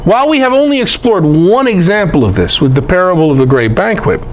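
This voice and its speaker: Man, high-pitched, very animated intonation, scholarly lecture, emphatic, conversational, folksy asides, background noise. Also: a little fast. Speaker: presenter and Bible teacher.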